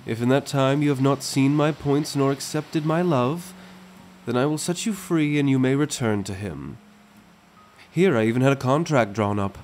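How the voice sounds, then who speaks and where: A man playing a confident, affectionate merman prince in a gentle, teasing, courtly manner for an ASMR roleplay. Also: medium pitch. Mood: smooth persuasion. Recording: music under the voice.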